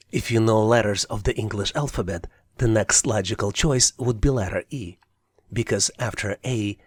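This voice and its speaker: A man's voice: fairly low pitch, husky. A man narrating an explanatory tutorial as an instructor, walking through things methodically, step by step. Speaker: test-prep instructor.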